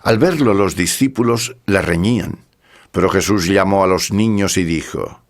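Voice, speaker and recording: A man's voice: deep voice, normal speed. A man narrating scripture from a script in a solemn, formal manner.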